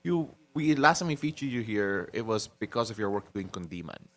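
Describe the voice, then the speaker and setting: A man co-hosts a morning show and asks long, rambling questions. His voice sits low but moves around a great deal in pitch. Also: expressive, brisk, a bit bright. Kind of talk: interview questions.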